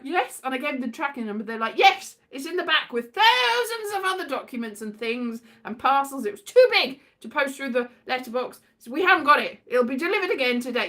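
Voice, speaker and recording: A British woman telling casual, rambling comic anecdotes in an animated, theatrical way, acting out exchanges as she goes. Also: sits high. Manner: animated, theatrical, acts out exchanges, breaks into sing-song. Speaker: solo host of an audio show.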